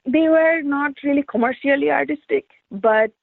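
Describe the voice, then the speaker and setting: A woman's voice: sits high, moderate pace, gravelly, no room sound. A woman from India, an artist and teacher, telling stories from her life as an interview guest, with many "like" fillers. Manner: reflective, storytelling, frequent "like" fillers. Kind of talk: conversational interview answers. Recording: tinny phone-like line, dry.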